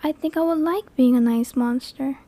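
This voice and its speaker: A woman voicing a lonely, clingy female sleep paralysis demon in an ASMR roleplay, soft and breathy, hesitant and intimate, often near a whisper, close to the microphone. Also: high voice, normal speed, no echo. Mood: tender, hopeful. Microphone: close microphone, dry.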